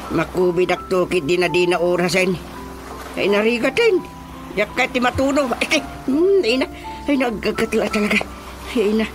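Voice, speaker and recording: A man's voice: high-pitched, expressive, moderate pace. Male actor as old father, fretful, whining, exclamatory, comic radio drama, music behind. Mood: whining, agitated. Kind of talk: comedic radio drama acting.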